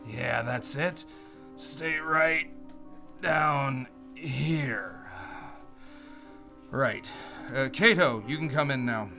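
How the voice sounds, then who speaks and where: An actor in a radio play voicing a masked vigilante hero, firm, deliberate and commanding. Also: male voice, medium pitch, creaky, bright, forceful tone, soft background music, heavily acted. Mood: firm, urgent.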